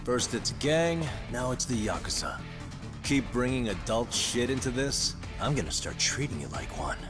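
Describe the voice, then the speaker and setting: A man's voice: mid-range voice, very animated, normal speed, lightly acted. An actor in his thirties playing a tough, street-smart male detective in an English game dub, wry, confident and threatening. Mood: cool menace. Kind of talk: English video game dub cutscene acting. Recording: music under the voice.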